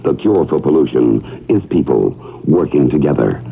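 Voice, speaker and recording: A man with a gravelly voice reading a scripted 1970s radio public service announcement. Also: rumbling low, big pitch swings, normal speed, phone-quality sound. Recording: thin band-limited 1970s radio recording.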